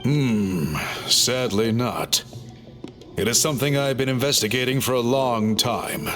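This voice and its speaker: Calm formal chief guy, explains things politely, bright lively voice, faint music. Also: medium pitch. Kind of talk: game cutscene voice acting.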